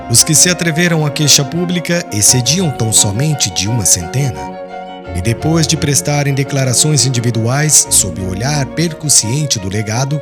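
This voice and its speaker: Male narrator, solemn and highly expressive scripted audiobook read, faint music bed. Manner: solemn, literary, carefully phrased. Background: soft background music.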